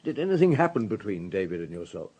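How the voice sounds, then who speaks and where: An actor in a radio drama playing a senior police detective, questioning in a calm, measured, terse way. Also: male voice, fairly low pitch, husky. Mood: calm, probing.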